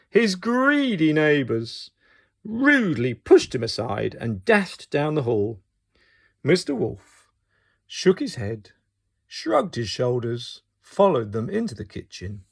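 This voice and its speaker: A man reading a picture book aloud to young children as an expressive storyteller. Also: mid-range voice, gravelly, slightly rough.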